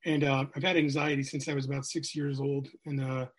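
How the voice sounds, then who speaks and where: A man's voice: mid-range voice, flat delivery, a little fast, husky. A middle-aged man, a sales coach telling his life story as a podcast guest, candid and rambling with many fillers. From New Hampshire, USA.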